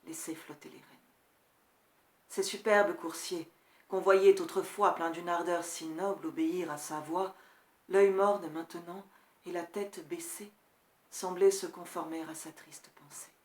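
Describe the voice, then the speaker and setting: A woman performing a grief-stricken male tutor in a classical verse tragedy, solemn and measured, moving between hushed and forceful delivery. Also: lowish voice, echoey room, lightly acted. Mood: somber, hushed. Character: grief-stricken male tutor recounting his prince's horrific death. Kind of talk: dramatic classical French verse recitation.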